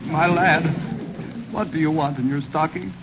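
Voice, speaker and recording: A man's voice: on the high side, steady tone, measured pace, creaky. A man playing a nerve-shattered veteran store Santa who laments melodramatically, in 1930s radio comedy style. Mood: despairing. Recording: muffled, hissy 1930s radio recording.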